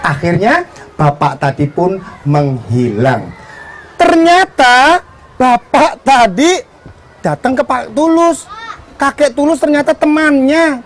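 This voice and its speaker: A children's storyteller narrating live, at a slow pace with a lively, wide-moving pitch. The delivery invites the listeners to respond, with faint noise in the recording.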